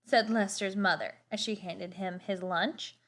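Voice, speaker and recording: A woman reading a children's storybook aloud expressively, with deliberate, dramatic emphasis on words. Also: mid-range voice, steady tone, a bit bright.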